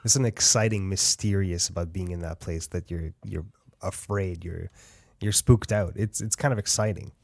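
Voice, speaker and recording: A man's voice: low voice, steady tone, moderate pace, vocal fry, darkish tone, no room sound. A man hosting an audiobook podcast, interviewing an author in an enthusiastic, chatty way. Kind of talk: casual interview.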